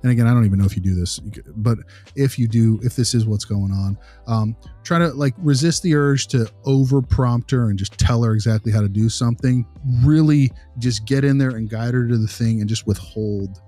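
A man's voice: mid-range voice, normal speed, warm tone, dry recording, soft background music, lightly acted. A man playing an easygoing behavior consultant, talking informally and reassuringly in a role-played meeting with a parent.

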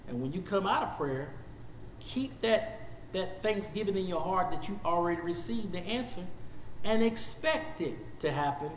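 A man in his fifties with a Southern US accent teaching a Bible study as pastor. He swings from shouting to low talk, recorded in a church sanctuary on a lapel mic. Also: lively intonation, moderate pace, reverberant, big-room sound, a little hiss or hum, low-quality recording. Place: church sanctuary, seated before a projection screen.

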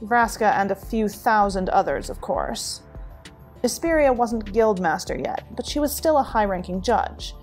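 A woman's voice: medium pitch, expressive, measured pace, darkish tone, lightly acted. A woman playing a wary, well-informed informant in a fantasy audio drama, briefing formally and matter-of-factly. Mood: dry, guarded. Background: music.

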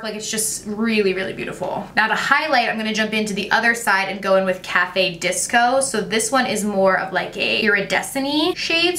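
A young woman, talking through a makeup tutorial in a chatty, gushing way, her voice a little raspy today. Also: medium pitch, bright, forceful tone, roomy sound, far from the mic, slight background noise. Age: young adult. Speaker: beauty vlogger. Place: bright home room with makeup shelves. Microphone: camera at close framing.

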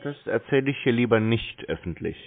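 A man's voice: mid-range voice, animated, fairly quick, creaky. A man on a call, answering in a dry, evasive and blunt way as a prank caller. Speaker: prank caller stringing along phone scammers. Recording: tinny phone line.

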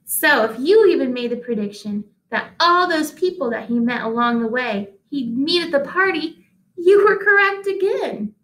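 A woman in her thirties reading a picture book aloud to children, deliberate and expressive, pausing to address listeners at home. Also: high voice. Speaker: read-aloud host, teacher. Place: small indoor office-like room. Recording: echoey small room.

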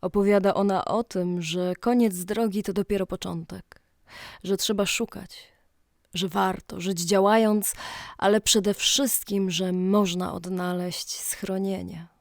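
A woman's voice: mid-range voice, steady tone, a little slow, no echo, studio quality. A woman narrating a legend as a solemn, dramatic storyteller in archaic, scripted language.

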